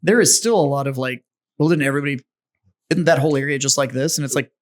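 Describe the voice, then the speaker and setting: A man from Texas co-hosting a podcast in a studio, telling stories excitedly in casual chat. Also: mid-range voice. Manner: excited storytelling, quick laughs. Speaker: podcast co-host.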